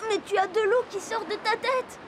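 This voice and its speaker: A woman's voice: very high voice, normal speed, noisy background. A woman voicing a curious, enthusiastic little girl who is the heroine of a children's cartoon. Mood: cheerful, curious. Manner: bright-eyed, inquisitive, enthusiastic. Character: curious, cheerful little girl who travels to animal friends. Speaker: main character and narrator.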